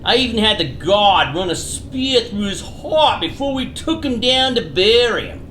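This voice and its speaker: Comic radio gangster lackey, played by an actor: nervous, deferential, slangy, very animated pitch, roomy sound with faint noise. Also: unhurried. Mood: deferential, grave. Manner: mobster slang with double negatives. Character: deferential gangster underling Vinny, slangy, nervous bearer of bad news. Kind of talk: comic radio theater acting. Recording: roomy, echoing, faintly noisy recording.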